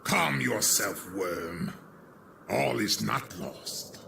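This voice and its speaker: An actor playing a scheming, grandiose villainous warlord with a gravelly voice in a video game. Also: male voice, on the low side, animated, drawn-out words, pushed and bright, echoey room, slight background noise, exaggerated voice. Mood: menacing. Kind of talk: melodramatic video game cutscene acting.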